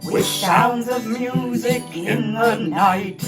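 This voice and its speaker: A woman singing a gentle children's singalong, leading the song with a guitar. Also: a little high, takes it slowly. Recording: roomy, distant mic. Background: acoustic guitar accompaniment.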